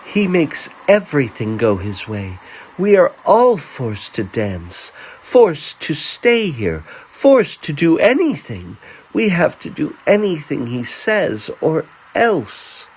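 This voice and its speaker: Man voicing a raised-pitch, aggrieved young girl. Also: wildly swooping pitch, slow, a little mellow, a little hiss or hum, heavily acted, low-quality recording. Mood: grumbling. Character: resentful, frightened young slave girl dancer.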